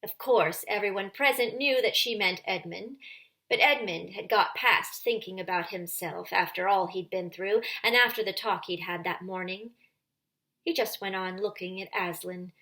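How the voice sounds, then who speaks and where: A woman reading a children's story aloud as storyteller, with expressive narration and deliberate, dramatic phrasing. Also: medium pitch.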